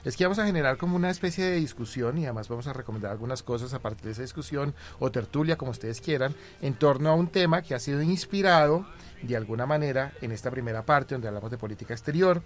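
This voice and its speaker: A man from Colombia, hosting a radio talk show and introducing segments and guests in a relaxed, conversational way. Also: medium pitch, quick pace. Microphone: studio microphone.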